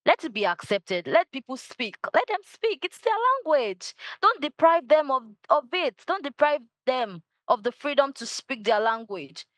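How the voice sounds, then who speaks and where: A woman's voice: high voice, big pitch swings, normal speed, hardly any pauses, dry recording, poor audio. Passionate woman, dry sound. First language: Yoruba. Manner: passionate, emphatic, repeats key phrases. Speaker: guest, culture advocate. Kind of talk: interview answers.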